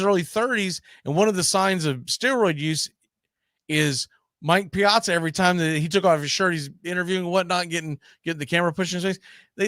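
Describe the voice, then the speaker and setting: A man with a high, forceful voice talks sports casually as a show host. He is argumentative and emphatic, leaning on pointed rhetorical questions. The sound is close and dry, with very little room in it. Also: no echo. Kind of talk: casual opinionated sports discussion.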